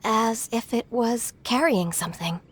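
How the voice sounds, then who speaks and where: Gentle, high-voiced devout townswoman. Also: measured pace. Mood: gentle, helpful. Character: gentle, devout young townswoman offering blessings. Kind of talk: video game voice acting.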